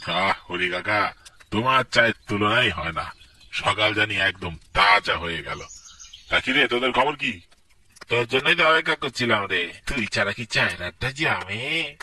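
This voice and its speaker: A man's voice: on the low side, animated, normal speed, bright, forceful tone, husky, recorded from a distance, heavily acted. A man voicing an easygoing village friend in a cartoon, chatting in casual, familiar banter. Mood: friendly, curious.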